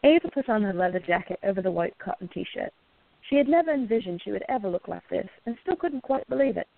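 A woman narrates a scripted radio play. Her medium-pitched voice has plenty of fry. The sound is very dry and close, with no room to it. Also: expressive, fast, no room sound. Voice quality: creaky. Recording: narrow phone-like, dry sound.

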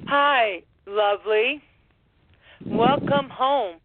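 A woman playing a gentle, maternal small-town mother in an amateur radio drama. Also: a little high, extremely slow, narrow, tinny audio, noisy background. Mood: welcoming.

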